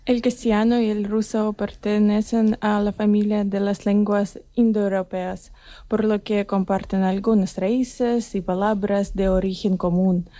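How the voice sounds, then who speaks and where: A woman adult student giving a measured prepared explanation that sounds read out. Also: medium pitch, level tone, mellow, no echo.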